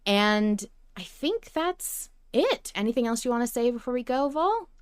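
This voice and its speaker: Woman podcast host chatting casually, smooth lowish voice, super dry close recording. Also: sing-song, normal speed, dry recording. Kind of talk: casual fan discussion.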